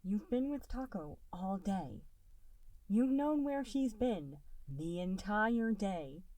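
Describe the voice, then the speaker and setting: An earnest, caring woman, careful and deliberate in how she speaks, as a female reader puts her on. Her voice rises and falls in a lively way. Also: mid-range voice, voice pushed up.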